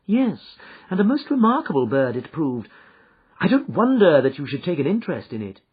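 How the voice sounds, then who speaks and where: A man voicing a calm, confident detective who speaks blandly and cheerily, heard as a dull lo-fi audiobook recording. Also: on the high side, normal speed, husky, voice pushed up. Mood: suave.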